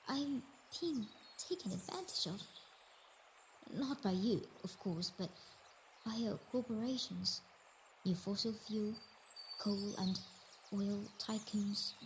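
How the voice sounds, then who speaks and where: A woman's voice: medium pitch, slow pace, long pauses, a little hiss or hum. A woman voicing a sickly, motherly Earth goddess in an intimate roleplay monologue, hesitant and emotional, dipping toward whispers. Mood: sad, conflicted. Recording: nature ambience with birdsong behind voice.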